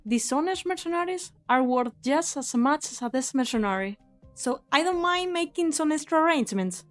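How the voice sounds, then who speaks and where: A woman acting as a young mercenary leader with a high voice. She is cynical and unsentimental, delivering everything with a sly, taunting edge. It is anime game dub acting with soft music underneath. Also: expressive, a little fast. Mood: cool, sly.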